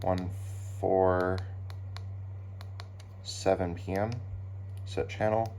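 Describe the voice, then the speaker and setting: A man giving casual, unscripted commentary as a hobbyist doing an unboxing, speaking haltingly with pauses. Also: sits low, flat delivery, warm tone. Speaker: hobbyist unboxing weather radios. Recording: clear background noise, handling clicks.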